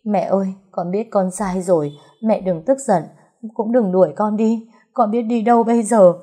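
Distraught young woman played by a female narrator, meek, apologetic, pleading, soft-edged husky voice, audio storybook. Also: fairly low pitch, normal speed. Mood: desperate pleading.

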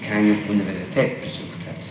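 A man's voice: lowish voice, fairly even intonation, a little fast. A man questioning a witness as a police interrogator, formal, probing and pedantic, recorded on an old 1960s tape. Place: reverberant room, far from microphone. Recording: noisy, echoing 1960s interrogation tape, distant.

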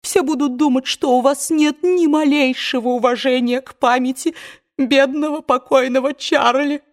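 A woman's voice: sits high, steady tone, voice pushed up, close to an everyday voice. A woman doing a fussy, weepy old aunt. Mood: pleading. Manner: hushed, fretful, slow.

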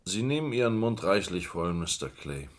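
A haughty gentleman under suspicion in an old radio crime play. The voice is deep and animated in its intonation, with a stiff, defensive bearing. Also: normal speed.

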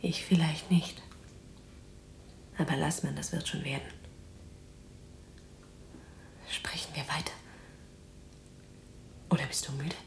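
An actress playing a weary but defiant, tender imprisoned resistance fighter in a German radio drama, speaking in a breathy, halting, intimate hush. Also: female voice, low-pitched, fairly even intonation, roomy sound, slight background noise. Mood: guarded, reassuring. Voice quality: breathy whispering. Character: exhausted, defiant, tender imprisoned resistance woman in wartime Netherlands.

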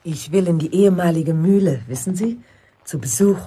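A visiting woman in a radio play, polite and quietly curious. Her voice is low and mellow, heavily veiled with breath. She speaks in an understated, musing manner. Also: normal speed, husky. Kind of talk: German radio play dialogue.

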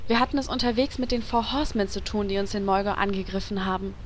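An actress playing a brisk, no-nonsense female adventurer in a comic radio play. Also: mid-range voice, a little hiss or hum, lightly acted.

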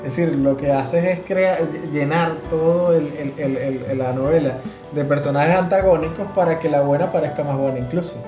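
A woman's voice: sits low, fairly even intonation, normal speed. A Venezuelan woman chatting casually as a podcast co-host, explaining things and often repeating words as she goes. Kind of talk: casual chat. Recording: roomy echo, guitar music behind. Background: guitar music.

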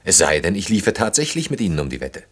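Very deep-voiced earnest man. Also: sing-song, fast talker, breathy edge, heavily acted. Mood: agitated, arguing. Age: 30s. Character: earnest, self-reproaching man of 32 in love. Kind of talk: German radio play acting.

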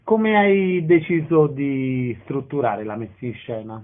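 A man's voice: medium pitch, slow. A man working as a radio interviewer, asking questions and announcing event details. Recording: narrow band-limited radio sound.

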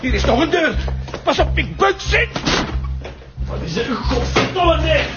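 An actor playing a gruff, protective police commissioner with a Flemish accent in a crime drama. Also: male voice, very high voice, very animated, a little slow, full character voice. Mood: shouting, commanding. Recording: dull lo-fi TV audio, loud music.

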